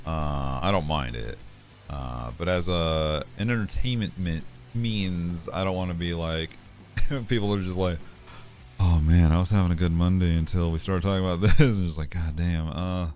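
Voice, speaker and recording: A man's voice: low voice, lively intonation, moderate pace, faint music behind. A man chatting casually on a livestream, joking loosely and laughing often. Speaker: streamer.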